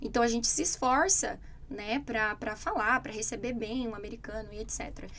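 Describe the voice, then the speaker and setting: Podcast co-host: brazilian woman, bright higher voice, animated anecdotes. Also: a little fast, slight background noise. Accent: Brazilian Portuguese. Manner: animated storytelling, quoting others. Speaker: English teacher, podcast co-host. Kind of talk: casual chat.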